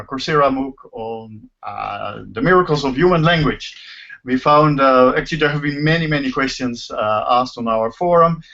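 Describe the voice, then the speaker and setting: Guy professor hosting an online chat, kinda halting, rephrases a lot, dull lo-fi call sound. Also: medium pitch, fairly bright tone. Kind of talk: informal hosting of a live video discussion. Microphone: video call. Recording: muffled lo-fi video call audio.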